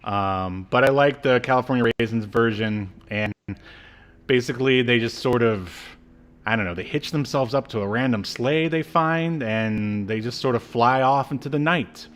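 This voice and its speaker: A man in his thirties co-hosting a casual review chat, recounting things haltingly, bit by bit. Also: medium pitch, fairly bright tone. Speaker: podcast co-host. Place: small indoor room, video call.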